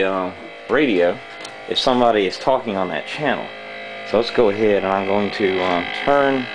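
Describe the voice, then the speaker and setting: A man giving a casual, unscripted how-to demonstration, explaining methodically and haltingly with frequent pauses. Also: lowish voice, low-quality recording. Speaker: hobbyist demonstrator.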